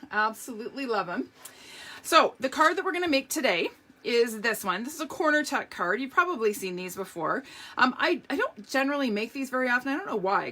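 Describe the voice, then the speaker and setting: A hoarse woman hosting a live craft demonstration, chatty and upbeat, greeting viewers by name as she works. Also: fairly high pitch, pushed and bright. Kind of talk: live craft demo chat.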